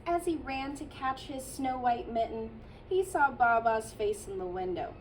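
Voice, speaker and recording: A childcare teacher, a woman, reading a picture book aloud to children in a gentle storytelling manner with playful asides. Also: a little high, animated, unhurried, distant mic, slight background noise, rough recording.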